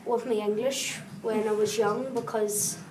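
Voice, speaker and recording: A woman's voice: a little high, fairly even intonation, a little slow. A woman whose first language is Irish, speaking sincerely and simply in English in an interview. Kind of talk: documentary interview. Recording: distant, echoey and noisy.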